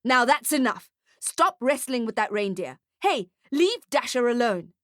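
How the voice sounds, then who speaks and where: A woman's voice: on the high side, sing-song, a little slow, a bit bright, no echo, studio quality, pitched above the performer's own voice. A woman voicing a weary, exasperated man who plays a mall Santa in a storybook, his politeness strained by irritation. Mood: angry. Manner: forced politeness breaking into irritation.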